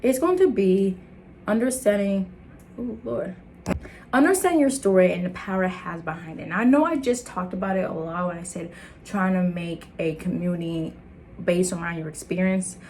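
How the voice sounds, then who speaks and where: A young woman with an American accent, born in Liberia and raised in the States, talking to camera in an earnest, animated motivational vlog. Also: moderate pace, slight background noise. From Liberia, raised in the United States. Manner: earnest and animated, laughing, jumping into high excited bits. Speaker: content creator. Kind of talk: casual motivational vlog talk.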